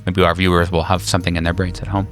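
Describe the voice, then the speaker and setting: Low-voiced man narrating a horror game. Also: completely flat delivery, a bit bright, faint music behind. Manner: halting, informal, jokey asides. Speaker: game master and show host. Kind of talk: narrating a tabletop horror game, casual banter.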